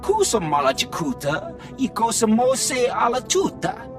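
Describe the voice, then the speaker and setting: A man's voice: very high-pitched, wildly swooping pitch, unhurried, music in the background, exaggerated voice. An actor playing an agitated male alien guide in a video game, talking in exotic clipped syllables. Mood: insistent. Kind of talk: video game cutscene acting.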